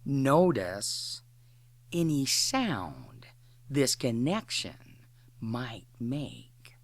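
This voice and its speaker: A woman guides an imagery meditation at an extremely slow pace, in short, deliberate phrases separated by long pauses. Her pitch moves up and down noticeably as she gives instructions, and the sound is dry and close. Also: very animated, no room sound.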